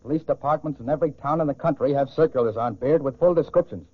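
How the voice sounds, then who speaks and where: An actor playing a determined police officer in a 1940s radio crime drama. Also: male voice, on the high side, fast talker, breathy edge, no echo. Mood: resolute. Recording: tinny, band-limited 1940s radio recording.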